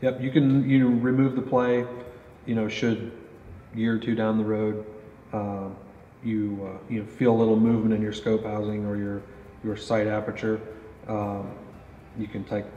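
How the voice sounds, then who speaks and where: A man's voice: lowish voice, little pitch movement, moderate pace, dark tone. A man in his thirties casually interviewing a guest and explaining archery gear, with many fillers, at an indoor range. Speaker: company pro staff interviewer. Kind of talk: product interview. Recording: roomy echo, dull, faint noise.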